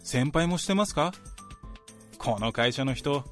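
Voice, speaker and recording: A man's voice: mid-range voice, expressive, unhurried, fairly bright tone. A man playing an ambitious, self-assured young office worker in a radio drama, speaking politely and earnestly to his senior. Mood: earnest, confiding. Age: young adult. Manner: polite junior speech, talkative about ambitions. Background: background music.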